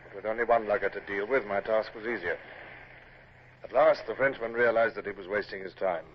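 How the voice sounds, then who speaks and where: An actor playing a stern, commanding British naval captain in a radio drama, formal and crisp in manner. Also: male voice, fairly low pitch, brisk, natural voice. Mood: measured narration. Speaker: lead actor and narrator. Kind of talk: vintage radio drama acting and narration. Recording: dull, faintly noisy old radio recording.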